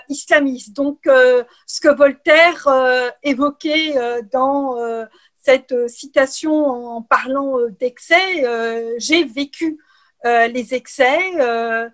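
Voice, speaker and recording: A middle-aged woman from Algeria answering interview questions in a measured way, with frequent hesitations. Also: sits high, a bit bright. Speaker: interview guest, writer and political scientist.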